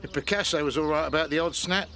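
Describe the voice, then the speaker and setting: An actor playing a touchy, suspicious middle-aged English pub landlord in a radio soap, blunt and sardonic. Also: male voice, fairly high pitch, quick pace, sharp tone, slight background noise. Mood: brisk, needling.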